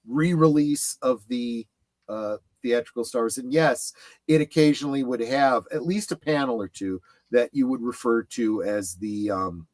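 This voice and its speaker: Middle-aged male panelist, mid-range voice, halting casual delivery, dry close mic. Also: medium pitch, no echo. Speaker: panelist on a pop culture livestream. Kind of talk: casual chat about comics.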